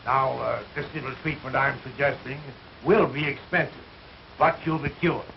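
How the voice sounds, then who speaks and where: An actor playing a grasping, patronizing quack doctor in a 1930s film melodrama. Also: male voice, medium pitch, moderate pace, vocal fry, lightly acted. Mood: coaxing. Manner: patronizing, salesman-like. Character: smooth-talking, grasping quack doctor, patronizing older man. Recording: dull, hissy 1930s film soundtrack.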